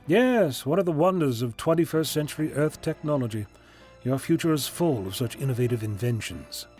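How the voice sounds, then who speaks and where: A genial alien Doctor acted by a man in an audio drama. He chats and lectures whimsically in a lively, swooping voice, with music behind him. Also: medium pitch, moderate pace, lightly acted. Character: genial eccentric alien Doctor, whimsical time-travelling scientist.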